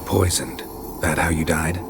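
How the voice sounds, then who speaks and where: An actor playing a stoic monster hunter in a video game, gruff and gravelly, questioning in terse, deadpan phrases. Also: male voice, a bass voice, unhurried, music in the background. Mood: blunt. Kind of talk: video game drama acting.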